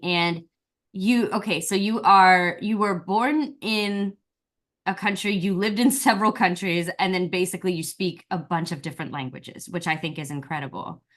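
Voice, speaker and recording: A woman's voice: medium pitch, fairly bright tone. A woman from Virginia hosting an interview, effusive and gushing, full of "like" and "you know". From Virginia, USA. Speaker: interview host. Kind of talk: casual interview chat.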